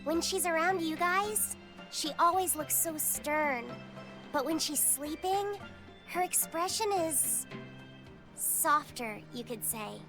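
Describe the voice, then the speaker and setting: A woman's voice: sits high, expressive, takes it slowly, over music. A woman voicing an earnest, innocent young boy, shy and curious, in a video game. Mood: shy, thoughtful.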